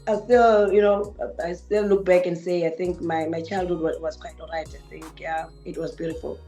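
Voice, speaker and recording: A woman with a Venda accent and a deep voice, a musician recalling her village childhood as a guest on a radio show. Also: normal speed, soft background music. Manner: relaxed, reflective, mixes English and Venda. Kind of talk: casual radio interview. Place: radio studio.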